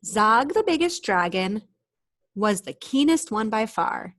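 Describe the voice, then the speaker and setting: A woman reading a storybook aloud expressively for children, pausing for chatty explanations and questions to her young listeners. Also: fairly high pitch, big pitch swings, a bit bright.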